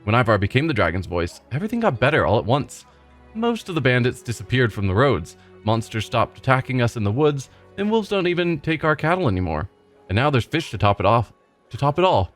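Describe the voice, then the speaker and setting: A man's voice: mid-range voice, sing-song, a little fast, lightly acted. A man voicing a cheerful, simple-minded male village fisherman who rambles and repeats himself proudly. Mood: earnest. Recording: faint game music behind.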